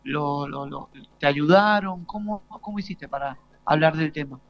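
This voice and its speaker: A man's voice: on the high side, gravelly, faint music behind. A man in his forties with an Argentine accent, interviewing casually and often stopping to rephrase his questions. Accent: Argentine Spanish. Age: 40s. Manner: halting, rephrases questions repeatedly. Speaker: radio interviewer. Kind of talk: casual live interview with students. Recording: dull lo-fi live broadcast sound.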